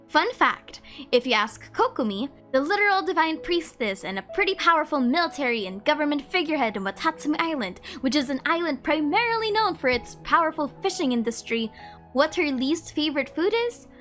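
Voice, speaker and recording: Female video host, high-pitched and animated, playful scripted narration with chatty asides. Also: brisk, soft background music. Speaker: host of a game trivia video.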